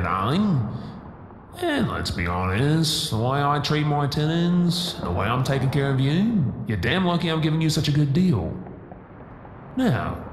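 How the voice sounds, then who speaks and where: A man playing a sleazy, greedy landlord in an audio roleplay, slangy and oily as he wheedles and hectors. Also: fairly high pitch. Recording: echoey hall with faint hiss.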